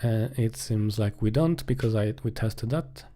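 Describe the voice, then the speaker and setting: A man's voice: lowish voice, unhurried, warm tone. A man giving casual live-coding commentary, thinking aloud in a hesitant way as he works.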